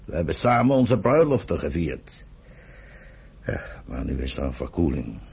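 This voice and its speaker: An elderly patriarch of a radio play, with an extremely low voice that is heavily husky. He speaks gravely and deliberately, as a stern, embittered political leader. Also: sing-song, a little mellow, full character voice. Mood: grave, concerned. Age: older. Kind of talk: radio drama acting.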